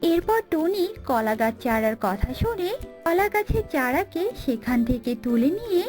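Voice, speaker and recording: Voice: sits high, very animated, a little slow, a little mellow, soft background music. A narrator reading a scripted children's story.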